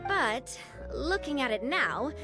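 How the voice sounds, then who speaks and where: A woman's voice: high voice, big pitch swings, slow pace, over music, exaggerated voice. A woman voicing a confident young woman who leads a group in a game, with an assured, explaining manner. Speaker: boss of a group. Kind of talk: game voice acting.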